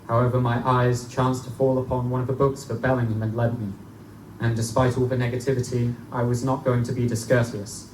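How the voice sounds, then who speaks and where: A man playing an earnest, studious young student narrating a gothic tale in formal, measured phrases at a live reading, recorded in a roomy, noisy space. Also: medium pitch, darkish tone, lightly acted. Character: Smith, earnest studious young male Oxford student recounting eerie events. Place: live stage reading in a bookstore. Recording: echoey live recording, distant mic, room noise.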